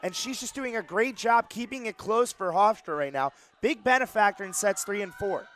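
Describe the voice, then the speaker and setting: A man's voice: sits high, lively intonation, moderate pace, fairly bright tone, slight background noise. A man giving conversational, appreciative color analysis during a live volleyball broadcast from a gym. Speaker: color commentator. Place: courtside in a gymnasium.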